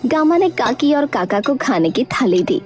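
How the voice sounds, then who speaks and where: A woman narrates a children's story from a script. Her voice is high, with light music playing behind it. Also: very animated, normal speed, a little mellow.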